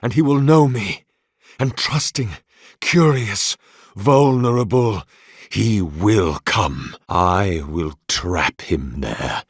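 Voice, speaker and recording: A tormented, devout zealot inventor for a fantasy video game. His voice sits a little low and moves very deliberately, with long, weighty phrasing. The delivery is formal and theatrical, grave with obsession, and captured dry and close. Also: expressive, husky, dry recording, full character voice. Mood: solemn resolve. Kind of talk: dramatic video game voice acting.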